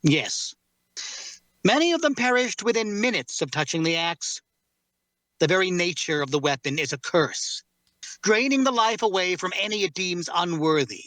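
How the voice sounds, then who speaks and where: A man playing a solemn, learned warrior who bears a cursed sword, speaking in a measured, formal way in a fantasy drama reading. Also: high voice, fairly bright tone, no echo, poor audio. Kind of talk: dramatic fantasy table read.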